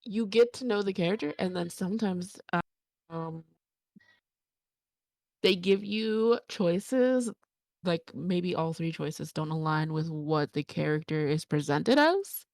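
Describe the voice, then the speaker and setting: Woman, low-pitched voice, casual interviewer, dry close sound. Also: animated, takes it slowly, a little mellow, no echo. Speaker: panel interviewer. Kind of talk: casual chat.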